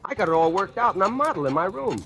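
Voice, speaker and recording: A man's voice: high voice, big pitch swings, quick pace. An actor playing a scheming factory worker who narrates his own murder plot, confiding and gloating, in 1940s radio acting. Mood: pleading. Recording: old radio broadcast with music.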